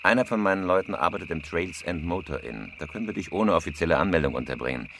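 An actor playing a cool-headed, laconic career robber in a German crime radio drama. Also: male voice, sits low, lively intonation, quick pace, creaky, noisy background. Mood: businesslike, terse. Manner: laconic, matter-of-fact. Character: terse, cool-headed male career robber planning a heist. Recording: music behind the voice. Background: music.

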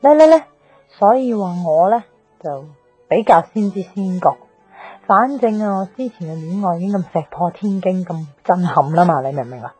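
A woman's voice: fairly low pitch, animated, moderate pace, a little mellow, slightly rough. An actress playing a cheeky, teasing young best girlfriend in a radio drama, breezy and slangy, with a Hong Kong Cantonese accent. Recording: faint music bed.

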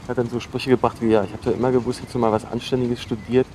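An actor playing a defensive, awkward young dropout in a naturalistic radio drama, halting and repeating himself as he talks. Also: medium pitch, little pitch movement, darkish tone, natural voice. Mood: awkwardly confiding. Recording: noisy location recording with ambient hum.

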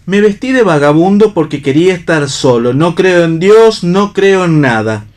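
A cynical, philosophizing husband. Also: male voice, sits high, expressive, normal speed, darkish tone. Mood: impassioned protest. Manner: literary, ironic, argumentative. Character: cynical, pipe-smoking husband, disillusioned and philosophical unbeliever. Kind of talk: staged radio play reading.

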